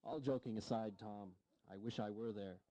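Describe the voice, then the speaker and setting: A man's voice: on the low side, steady tone, moderate pace, warm tone, dry recording, close to an everyday voice. An actor playing an aging, self-deprecating American statesman in a historical audio drama, sardonic, blunt and earnest.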